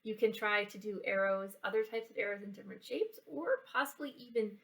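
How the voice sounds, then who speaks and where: A woman giving a casual how-to science and craft demonstration for children, explaining in a halting way and checking in as she goes. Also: mid-range voice, fairly bright tone, distant mic. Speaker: library children's program presenter. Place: indoors, in a library building.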